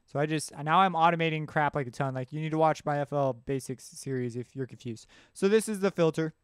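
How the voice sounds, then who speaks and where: A man presenting a software tutorial in an informal, offhand way, thinking aloud as he works. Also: on the high side, fairly quick, smooth, no echo, studio quality. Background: synth music and instrument sounds.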